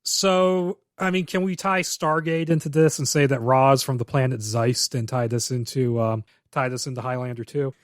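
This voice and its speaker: A man's voice: medium pitch, steady tone, moderate pace, hardly any pauses, no room sound, clean studio sound. A man co-hosting a podcast, recapping a film script plot in detail and giving blunt, opinionated takes with casual swearing. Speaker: podcast co-host.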